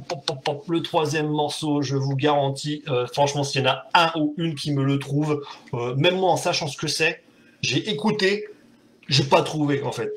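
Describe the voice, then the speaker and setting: Guy rambling on a home live stream, kinda high voice, faint hiss and keyboard clicks. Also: a bit bright. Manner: rambling, self-mocking, many fillers. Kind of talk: casual live chat with viewers. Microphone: desk mic, typing audible.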